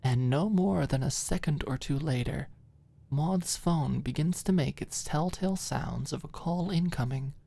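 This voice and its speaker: A woman's voice: deep voice, a little slow, vocal fry, warm tone. A woman narrating a scripted ASMR audiobook story, close to the microphone.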